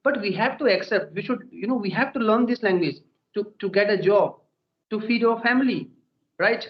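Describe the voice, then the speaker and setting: A man's voice: high voice, moderate pace. Indian-accented young man, echoey. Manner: earnest, peppers speech with "okay" and "you know". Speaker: English conversation teacher. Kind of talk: casual conversation practice. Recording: dull echoey room recording.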